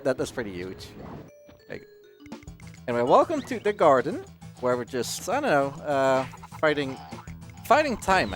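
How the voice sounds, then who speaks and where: A man giving casual live commentary on his own speedrun, explaining in a halting way and often interrupting himself. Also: mid-range voice. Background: video game music.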